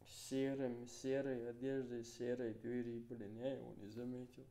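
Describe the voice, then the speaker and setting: A man's voice: mid-range voice, level tone, a little slow. A man in his twenties from Chechnya, streaming a game and muttering remarks to himself, swearing often. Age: 20s. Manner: quiet muttering, swears a lot. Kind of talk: casual gameplay commentary.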